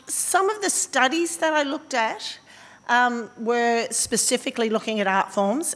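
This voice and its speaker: An Australian woman with a slightly croaky voice giving a conference talk, thoughtful and halting with fillers and restarts. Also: mid-range voice, a bit bright. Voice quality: slightly hoarse. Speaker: conference speaker. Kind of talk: academic presentation. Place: conference hall. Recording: reverberant conference hall.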